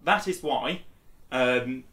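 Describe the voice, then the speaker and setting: A man in his twenties gives an informal lesson in a mid-range voice, explaining one step at a time. He sounds a little way from the microphone. The small room adds a clear echo around his words. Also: medium pitch, slow pace, sharp tone. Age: 20s. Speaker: instructor. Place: small bare indoor room. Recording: echoey room, distant microphone.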